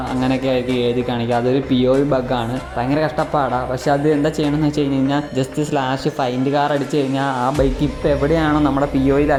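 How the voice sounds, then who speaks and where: Guy doing gamer tips, talks really quick, echoey room, music going behind him. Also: medium pitch. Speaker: gaming video creator. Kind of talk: casual gaming tips voice-over. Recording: roomy echo with music underneath.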